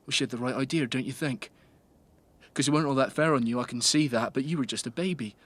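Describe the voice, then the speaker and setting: A man's voice: medium pitch, fast talker, lightly acted. An actor playing a troubled, bitter rural man in shock in a radio drama, speaking in clipped, disjointed phrases. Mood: bitter, musing. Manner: clipped, disjointed, rambling off the point. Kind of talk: naturalistic radio drama dialogue.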